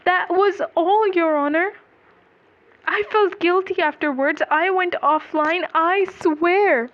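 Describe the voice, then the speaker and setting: A woman narrator playing an anguished male defendant in a dark story, pleading and exasperated as he justifies himself. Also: very high-pitched, slow, dull audio, voice pushed up. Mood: desperate pleading.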